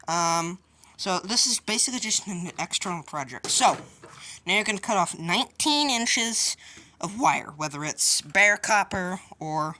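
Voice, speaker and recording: A woman's voice: fairly low pitch, pushed and bright. A woman hosting a DIY how-to video, explaining each step informally and a little hesitantly. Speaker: host of a DIY tutorial video. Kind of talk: casual hands-on how-to explanation.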